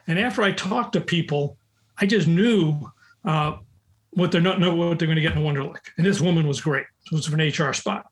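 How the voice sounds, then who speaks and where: A man's voice: on the high side, brisk. An older man, a recruiter, chatting on a call and telling long, rambling anecdotes with frequent restarts. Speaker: recruiter, guest. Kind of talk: casual conversation on a call. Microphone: call audio.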